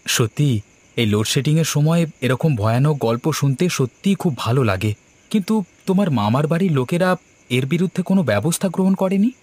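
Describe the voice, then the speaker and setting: A man's voice: a little high, expressive, brisk, a little mellow. A man voicing a curious son in a cartoon, questioning and impressed by scary stories. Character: curious son listening to mother's ghost stories. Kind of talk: animated story dialogue.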